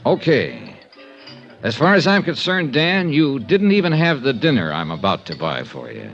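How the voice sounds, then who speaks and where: Wry, tough radio investigator narrating his case, mid-pitched, clipped delivery, music behind, vintage muffled sound. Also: wildly swooping pitch, moderate pace, gravelly, fairly bright tone, full character voice. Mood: easy, guarded. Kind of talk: 1950s radio detective drama, first-person narration.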